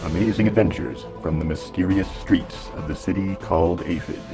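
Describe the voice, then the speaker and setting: A man giving dramatic, grandiose scripted narration for an adventure serial, with deliberate phrasing. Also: low-pitched, over music.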